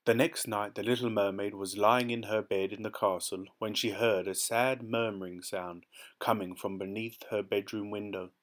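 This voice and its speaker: A man calmly reading a fairy tale aloud from a storybook. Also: lowish voice, normal speed. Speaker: storybook reader. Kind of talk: gentle read-aloud storytelling.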